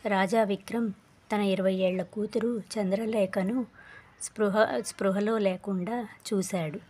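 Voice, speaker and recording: A woman's voice: medium pitch, fairly even intonation, measured pace, mellow, slightly rough. A woman narrating a scripted children's story.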